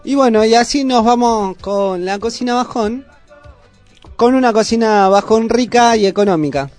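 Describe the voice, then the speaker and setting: Man, Argentine accent, high pitch, casual kitchen chat, colloquial host, affectionate diminutives. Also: moderate pace, a little mellow, faint music behind. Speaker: host of a youth culture radio cooking segment.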